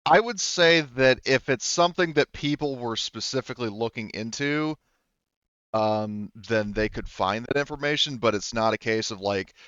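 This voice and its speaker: A man's voice: medium pitch, moderate pace, a bit bright, smooth, dry recording, lo-fi sound. A man casually explaining a tabletop game as game master, in an easygoing, informal way.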